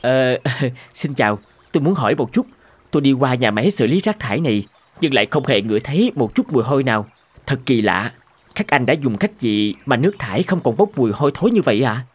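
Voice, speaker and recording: Animated, earnest young surgeon. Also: male voice, mid-range voice, brisk, breathy edge. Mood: polite, curious. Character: earnest, inquisitive young male British surgeon. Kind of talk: Vietnamese cartoon dubbing.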